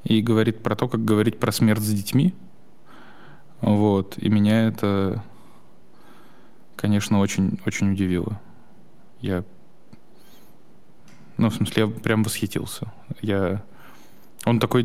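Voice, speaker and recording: A young man with a fairly low voice chats casually on a podcast. He talks haltingly, restarting his sentences and letting short laughs slip between thoughts. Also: level tone, a little mellow. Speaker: podcast co-host.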